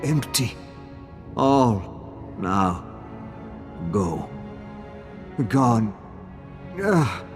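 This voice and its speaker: An actor playing a shattered, dazed male prisoner and former leader in a video game, speaking in halting fragments. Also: mid-range voice, animated, music in the background. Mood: vacant. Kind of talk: video game dialogue acting.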